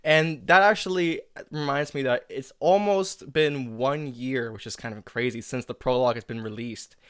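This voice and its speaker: A man's voice: mid-range voice, moderate pace, fairly bright tone, smooth, dry recording, clean studio sound. A man hosting a casual creator commentary, talking informally and often repeating himself as he goes. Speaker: host interviewing a collaborator, writer of an audio drama.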